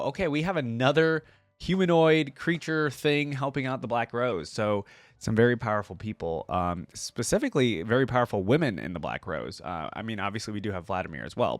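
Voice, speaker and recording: A man's voice: mid-range voice, no room sound. A man hosting a reaction video, reading a story aloud expressively and adding casual asides of his own.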